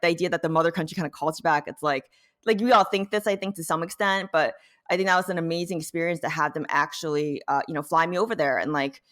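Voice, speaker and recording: A woman with a low-pitched voice talks at a quick clip. She is an interview guest telling personal career stories in an informal, conversational way, sprinkling in "you know" and "like" as she goes. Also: a bit bright. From born in South Korea, later immigrated to the United States. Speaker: interview guest, data science professional.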